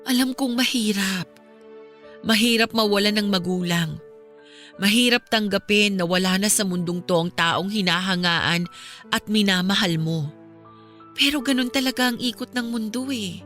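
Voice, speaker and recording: A woman's voice: medium pitch, moderate pace, a bit bright, soft background music, close to an everyday voice. An actress playing a caring, steadfast young Filipino woman who consoles a friend in a radio drama, earnest and reassuring. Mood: gentle consoling.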